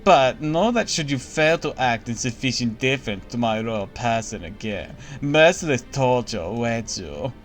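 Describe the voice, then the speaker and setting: A man's voice: mid-range voice, expressive, normal speed, few pauses, lightly acted. A vain, impish empress speaks with a put-on German accent, pompous and grandly theatrical, as a man puts her on. Her talk stays haughty, and faint game music plays behind her. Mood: imperious, threatening. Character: vain imperious empress, grown woman, impish and cruel. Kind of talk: voicing game dialogue on a stream.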